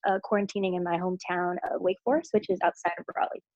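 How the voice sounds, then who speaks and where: A woman's voice: fairly low pitch, steady tone, fast, a little mellow, no echo. A woman in her twenties with an American accent introducing herself casually. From North Carolina, United States. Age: 20s. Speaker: prospective medical student. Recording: dull dry video call.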